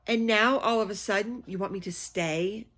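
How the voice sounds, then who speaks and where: A woman voicing a cold, stern babysitter in a children's book, deliberate and sarcastic, stressing her words. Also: mid-range voice, fairly bright tone, lightly acted. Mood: indignant. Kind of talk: children's book read-aloud.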